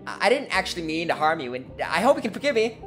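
Young male rookie for pony audio drama, played by a man. Flustered, eager, very animated pitch, music underneath. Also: quick pace, exaggerated voice. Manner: earnest, flustered.

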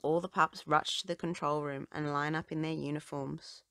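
A woman reading a children's storybook aloud with expressive, dramatic phrasing. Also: low voice, normal speed, smooth. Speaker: children's book reader.

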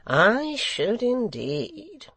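A man's voice: very high-pitched, huge pitch swings, very slow, creaky, a bit bright, no room sound, pitched above the performer's own voice, heavily acted. A man voicing a scheming secretary in a storybook, speaking in mild, pastor-like, insinuating tones that draw out the words. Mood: approving. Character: smooth, scheming, falsely mild male secretary.

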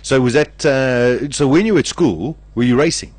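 A man's voice: mid-range voice, moderate pace, dry recording. A male radio host, chatting casually and teasing his guest with playful jokes. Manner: jokey, teasing, acts out playful quotes. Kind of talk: casual interview chat. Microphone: studio microphone.

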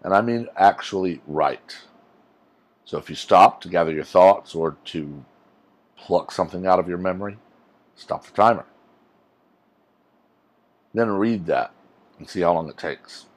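A deep, low-pitched man in his forties talks straight to camera in a casual, rambling rant. He sounds earnest and emphatic, recorded close on a lapel mic in a small indoor room. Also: measured pace, pauses often. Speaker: YouTuber.

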